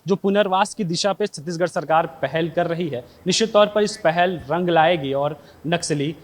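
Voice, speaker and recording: A man's voice: a little high, moderate pace. A man working as a field reporter, delivering a TV news report and putting questions to an interviewee.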